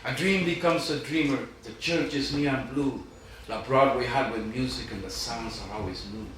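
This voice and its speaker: A man's voice: mid-range voice, moderate pace, fairly bright tone. A man reading his poetry aloud at a live event, with an informal, self-deprecating, rhythmic delivery. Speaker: poet. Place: live event room in Chicago. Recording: distant mic, very echoey noisy room.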